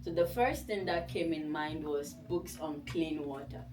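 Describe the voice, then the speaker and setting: A woman's voice: low voice, a little slow, music in the background. A woman with a Ghanaian accent and Nzema as her native language gives an informal personal talk as a guest author, in a conversational storytelling way. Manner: conversational storytelling, voices her own past thoughts. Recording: distant mic in a room.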